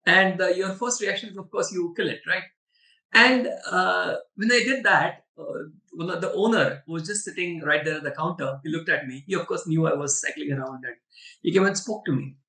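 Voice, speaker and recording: A man with an Indian accent and a bright, fairly high voice answers questions on a webinar. He speaks emphatically and conversationally, like a storyteller. The recording is roomy and echoing. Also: normal speed. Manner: emphatic, storytelling with rhetorical check-ins. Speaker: webinar panelist. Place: online video webinar. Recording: roomy echo, distant from the mic.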